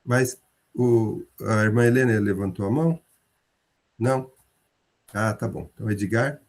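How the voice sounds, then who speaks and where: A man leading a group Bible study over a video call, explaining slowly and lifting his voice when he acts out quotes. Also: lowish voice, darkish tone.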